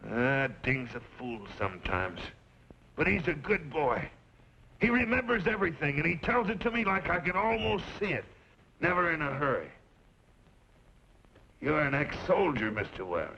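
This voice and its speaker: An actor playing a garrulous old rancher father in a western radio drama, folksy and rambling with quiet menace. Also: fairly high pitch, sing-song, creaky, heavily acted. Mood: wry, reflective. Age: older. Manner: folksy, rambling, drawn-out emphasis. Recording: muffled, noisy old radio recording.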